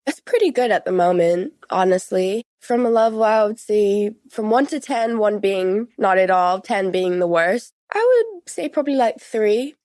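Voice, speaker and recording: A woman's voice: medium pitch, normal speed, few pauses, darkish tone, no room sound. A teenage young woman answers interview questions in a candid, conversational way. She leans on repeated words for emphasis. The sound is close and dry, with little room. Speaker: podcast guest.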